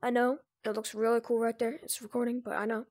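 A speaker giving informal, improvised step-by-step instructions in a casual screen-recorded software tutorial. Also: mid-range voice, level tone, quick pace, no room sound, studio quality. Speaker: tutorial presenter.

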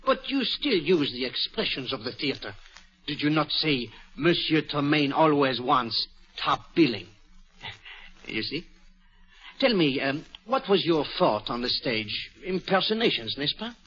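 An actor playing a fussy, clever Belgian detective with a French accent, in theatrical 1940s radio acting. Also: male voice, fairly high pitch, measured pace, husky, full character voice. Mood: probing. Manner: theatrical, lively, coaxing and ironic. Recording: dull old radio broadcast.

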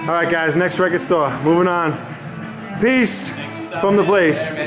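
A man with a slightly high voice excitedly narrates a walking tour through a record store while filming. Music plays clearly in the background, picked up by the camera mic. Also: unhurried. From New York. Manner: excited, casual, chatty. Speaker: vlogger touring record stores. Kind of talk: handheld walking-tour vlog. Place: large indoor record store. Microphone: handheld camera mic. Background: store music playing.

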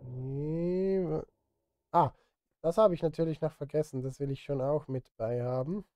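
A man's voice: fairly high pitch, unhurried, warm tone, dry recording, faint music behind. A man in his thirties chatting casually on a live stream as he works, partly to himself, close on a studio microphone. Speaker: streamer, film music composer. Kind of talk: casual live-stream chat while composing. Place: indoor home studio. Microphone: close studio microphone, headphones on.

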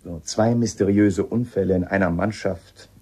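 A man's voice: deep voice, normal speed, a little mellow, breathy edge. A man acting a shrewd, polite police inspector in a radio drama, speaking in a probing, matter-of-fact, slightly hesitant way. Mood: probing, firm.

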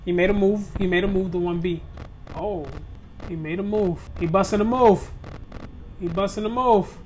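A man's voice: high voice, moderate pace, darkish tone, a little hiss or hum. A young man casually narrating his first run of a horror game, joking, swearing and talking straight to his viewers. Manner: loose, joking trash talk with slang and swearing. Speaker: gaming video creator. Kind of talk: live gameplay commentary.

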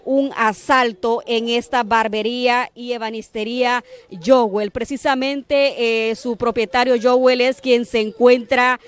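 A woman in her thirties with a Honduran accent, giving a somber live field report outdoors into a handheld mic, with frequent fillers. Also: fairly high pitch. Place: outdoors on a street by a barbershop. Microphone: handheld microphone.

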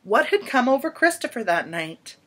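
A woman in her thirties reading a children's picture book aloud in an expressive, dramatic storytelling manner with emphatic pauses. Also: on the high side, breathy edge. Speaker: storybook reader. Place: small indoor room at home.

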